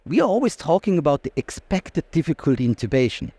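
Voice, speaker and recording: A man's voice: fairly high pitch, very animated, quick pace, dark tone, husky, dry recording. A man from Austria with an Austrian accent, a native German speaker, explaining things emphatically as an interview guest. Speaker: interview guest, anesthesiologist and researcher. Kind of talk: conversational expert interview.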